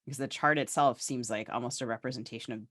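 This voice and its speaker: Man, podcast co-host, mid-pitched, close dry sound, interview questions. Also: fairly even intonation, quick pace, a bit bright, dry recording. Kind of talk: conversational literary interview.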